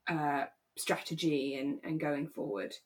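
A woman's voice: low-pitched, fairly even intonation, measured pace. A woman co-hosting a podcast, chatting casually in an earnest, emphatic and affirming way. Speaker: podcast co-host. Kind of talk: casual chat reviewing a radio drama.

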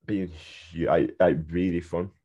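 A man's voice: deep voice, steady tone, a little slow, crowd noise. A man with a British accent hosting a casual podcast interview, chatty and enthusiastic. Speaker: podcast host. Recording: dull, lo-fi sound.

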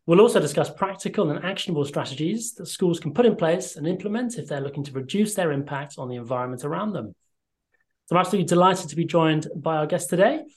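A man's voice: a little high, fast. A man hosting a podcast interview, introducing the topic and guests with polite, brief prompts. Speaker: host.

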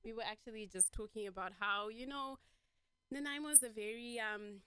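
Woman radio host, slightly high voice. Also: brisk, pushed and bright, smooth, no room sound. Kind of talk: casual chatty interview.

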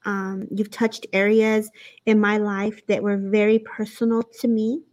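A woman hosting a conversational interview, speaking in a gentle, openly appreciative way. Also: medium pitch, level tone, measured pace. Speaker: host.

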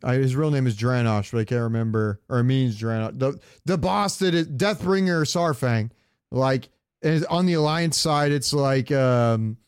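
A man co-hosting a podcast in casual chat, talking like an animated, argumentative fan. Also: medium pitch, moderate pace, smooth, no room sound, studio quality. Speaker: podcast co-host. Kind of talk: casual chat about game cinematics.

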